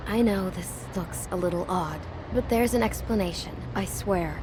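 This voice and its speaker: A woman's voice: medium pitch, moderate pace, noisy background, lightly acted. A woman playing an anxious, secretive young wife in a video game, pleading and hesitant. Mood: flustered, defensive. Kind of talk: naturalistic video game voice acting.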